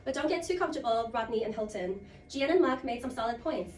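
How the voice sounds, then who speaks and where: A woman's voice: a little high, animated, quick pace, very noisy. A woman hosting a student role play like a game show, with a playful, jokey, theatrical scripted delivery. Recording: roomy, distant mic, loud music.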